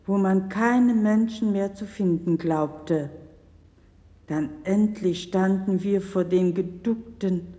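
An actress playing a grave, compassionate missionary who narrates her memories in a solemn, measured audio drama style. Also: medium pitch, warm tone, close to an everyday voice. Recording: long hall reverb, vintage vinyl record.

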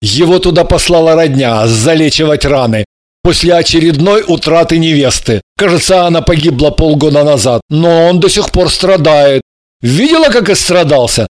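A man's voice: a little high, animated, moderate pace, no echo, natural voice. A man voicing a cocky, condescending young man with a smug drawl, in an audiobook. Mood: mocking.

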